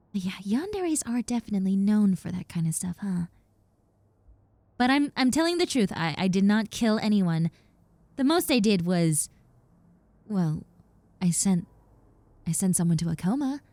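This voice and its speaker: A woman's voice: medium pitch, a little mellow, no echo. A woman playing a nervous, apologetic young reformed yandere in a roleplay audio drama, speaking in a hesitant, stammering, earnest and reassuring way. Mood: sheepish, confessing. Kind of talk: roleplay audio drama, conversational monologue to the listener.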